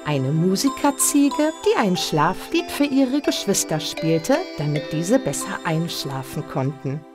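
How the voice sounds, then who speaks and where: A woman's voice: lowish voice, sing-song, unhurried. A woman narrating a scripted bedtime story for children. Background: music and flute behind the voice.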